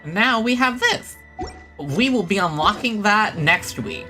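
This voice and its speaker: A game streamer chatting casually to viewers, rambling and low-key with a self-deprecating touch. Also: animated, unhurried, bright, forceful tone, soft background music. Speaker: livestreamer playing a video game. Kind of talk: casual livestream chat and game commentary.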